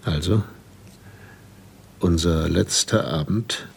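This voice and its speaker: An actor playing an aging, gentle and learned husband in a radio drama, grave and measured, with a husky voice. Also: male voice, low-pitched, slight background noise, full character voice. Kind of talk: literary radio drama acting.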